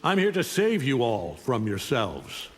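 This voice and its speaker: Arrogant alien conqueror, reverberant hall. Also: male voice, medium pitch, wildly swooping pitch, measured pace, a little hiss or hum. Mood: cold, domineering. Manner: imperious, threatening, condescending. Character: arrogant, menacing middle-aged alien superhuman conqueror in a cape. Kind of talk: video game fight-intro dialogue.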